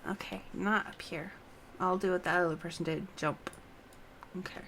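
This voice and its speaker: A woman's voice: fairly low pitch, fairly even intonation, moderate pace, a bit bright, a little hiss or hum. A woman live-streaming a game, reading quest dialogue aloud and talking to herself as she plays. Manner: talks to herself while playing.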